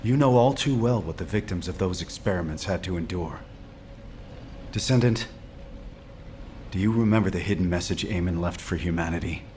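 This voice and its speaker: Man acting as a grave, low-voiced military commander. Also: a little mellow, slight background noise, close to an everyday voice. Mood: grave, measured. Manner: deliberate, serious, authoritative. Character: grave, measured male military commander weighing alliances. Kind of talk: video game cutscene acting.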